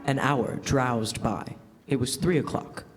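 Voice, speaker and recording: A man's voice: medium pitch, slow, over loud music. A man narrating a scripted story in classic radio drama style, live on stage. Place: on stage in a school hall. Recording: echoey hall, live stage performance.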